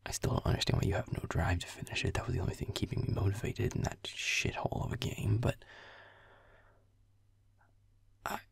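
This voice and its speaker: A man playing a grumpy, foul-mouthed young werewolf boyfriend, muttering sullenly in a breathy, gravelly whisper in an intimate roleplay audio. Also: sits low, fairly even intonation, fast talker, no room sound, exaggerated voice. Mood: grudging, teasing. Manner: sullen muttering, swearing, sarcastic.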